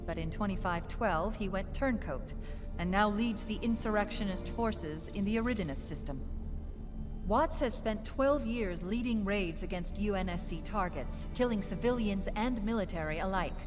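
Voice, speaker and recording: An actress playing a driven military scientist in an animated sci-fi drama, speaking in a cool, decisive and measured way. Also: female voice, mid-range voice, natural voice. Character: driven, coolly commanding female military scientist. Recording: dull film audio under loud music.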